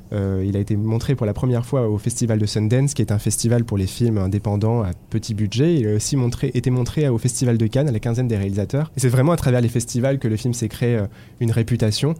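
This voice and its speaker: A man's voice: medium pitch, quick pace, a little hiss or hum. A man hosting a film podcast, introducing topics and leading the talk with some hesitation. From France. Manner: lively, hesitant at times. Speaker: podcast host.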